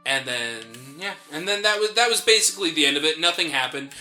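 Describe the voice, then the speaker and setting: A man's voice: fairly high pitch, quick pace, faint music behind. An American man co-hosting a gaming show, telling stories in casual banter and acting out the reactions he quotes. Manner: animated storyteller who acts out quoted reactions. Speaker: gaming podcast co-host. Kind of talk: casual banter while playing a video game.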